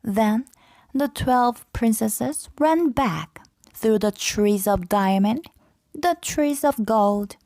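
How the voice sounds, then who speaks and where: A woman narrating a children's fairy tale aloud from a script, with deliberate, clearly phrased delivery. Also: mid-range voice, darkish tone, no echo. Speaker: storybook narrator.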